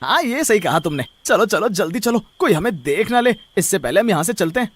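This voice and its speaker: Man playing a high-pitched greedy scheming onion seller. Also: big pitch swings, fairly quick, fairly bright tone, exaggerated voice. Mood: conspiratorial. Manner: exaggerated, emphatic, repeats words. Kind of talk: animated moral story acting.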